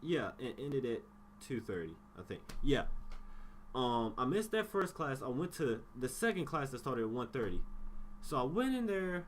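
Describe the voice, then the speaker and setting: Animated young man telling a story. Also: medium pitch, moderate pace, a little hiss or hum. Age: young adult. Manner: acts out dialogue, blunt and slangy with swearing. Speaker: YouTube storyteller. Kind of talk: casual, animated personal storytelling.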